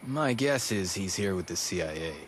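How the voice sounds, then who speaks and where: An actor playing an earnest, helpful informant in a video game cutscene. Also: male voice, deep voice, animated, moderate pace, slight background noise.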